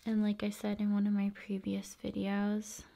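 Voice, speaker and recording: A soft-spoken woman hosting an ASMR video, musing casually in short, paused phrases and often slipping into whispers. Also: mid-range voice, monotone, gravelly. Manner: unhurried, pausing between short phrases.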